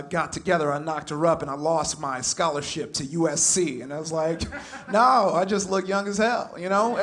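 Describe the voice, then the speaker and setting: A young man from California doing a stand-up comedy set on stage, animated, laughing through his punchlines and acting out shouted quotes. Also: fairly high pitch, a little hiss or hum. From Compton, California. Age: young adult. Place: comedy club stage with a live audience.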